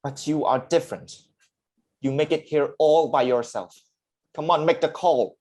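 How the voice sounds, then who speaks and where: A man's voice: fairly high pitch, normal speed, a little mellow, lightly acted. A man playing a regretful, self-doubting middle-aged father and game developer in staged reader's theatre, confessional and earnest. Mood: urging.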